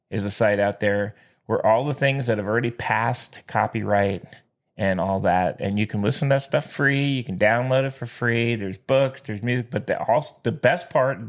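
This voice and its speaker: A man co-hosting a podcast in casual banter, exuberant and jokey, given to theatrical catchphrases. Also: fairly low pitch. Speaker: podcast co-host.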